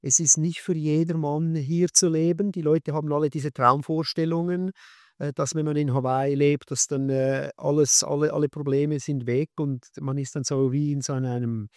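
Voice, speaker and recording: A middle-aged man with a Swiss German accent answers interview questions in a relaxed way. He often stops, restarts and searches for words. His voice sits a little high, and the recording is dry and close. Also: normal speed, no echo. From Switzerland. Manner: hesitant, many fillers and restarts. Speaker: guest, skate shop owner and magazine maker. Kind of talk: casual interview.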